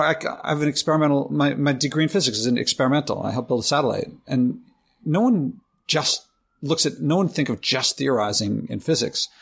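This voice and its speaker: A man hosting a podcast interview, talking in a halting way with frequent restarts. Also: mid-range voice, vocal fry, slightly rough. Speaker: podcast host. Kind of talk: conversational interview.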